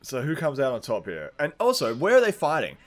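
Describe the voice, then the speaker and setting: A man with an ordinary mid-pitched voice talks as a podcast host in a casual, argumentative style. He is blunt and swears freely as he makes his case. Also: wildly swooping pitch, brisk. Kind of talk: casual chat, debating film characters.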